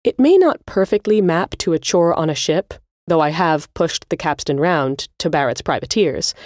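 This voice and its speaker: A woman with a low voice presents a scripted music-history podcast. She explains things in an articulate, orderly way, and the recording is very dry with little room sound. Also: a little mellow, no room sound. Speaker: podcast host.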